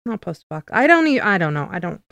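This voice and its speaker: A woman's voice: lowish voice, sing-song, fast, gravelly, a bit bright, no room sound. A woman in casual conversation, thinking aloud and correcting herself as she goes. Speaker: podcast co-host.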